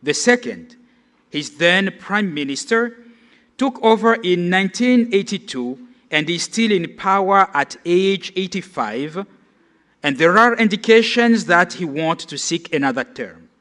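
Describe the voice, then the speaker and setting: A man in his forties from Cameroon reads his conference paper aloud. His voice sits high for a man, and his delivery is measured and formal. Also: animated, fairly bright tone. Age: 40s. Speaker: conference speaker. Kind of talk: reading a prepared lecture.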